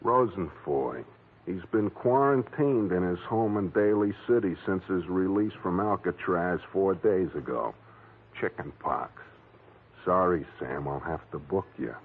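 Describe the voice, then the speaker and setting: An actor playing a plodding, officious police captain with an American accent, in 1940s radio drama style. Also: male voice, deep voice. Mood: matter-of-fact. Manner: officious, halting reading of statements. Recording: muffled, slightly noisy vintage radio recording.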